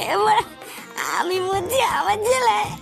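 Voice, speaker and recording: A woman's voice: extremely high pitch, lively intonation, moderate pace, creaky, soft background music, exaggerated voice. A woman voicing a crude, sleepy, tipsy father who grumbles and swears in a comic cartoon, with a West Bengali accent. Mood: sleepy, irritated.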